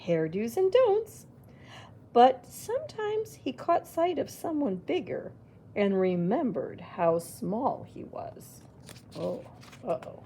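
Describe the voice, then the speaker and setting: Female library storyteller, unhurried picture-book read with lots of swoops and small reacting asides. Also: a little high, a little hiss or hum. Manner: expressive, exclaiming little reactions. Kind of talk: reading a picture book aloud to children with asides.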